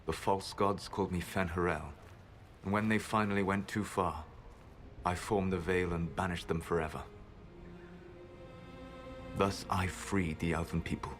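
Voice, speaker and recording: An actor playing an ancient, secretive male elf mage who speaks in a measured, grave and regretful way. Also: low-pitched, steady tone. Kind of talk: video game voice acting. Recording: game audio with music score.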